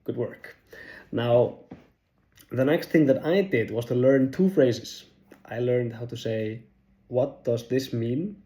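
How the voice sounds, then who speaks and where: A young man talks through language-learning tips to camera, chatty and self-deprecating, trailing into fillers and restarts. His voice sits in a mellow, relaxed register at a middle pitch. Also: takes it slowly, long pauses. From Iceland. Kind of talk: casual talking-head tips video.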